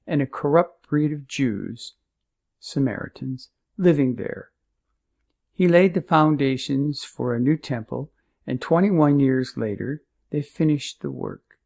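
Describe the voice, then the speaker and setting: A man's voice: on the high side, creaky, darkish tone. A man reading a scripted narration of Bible history as a narrator.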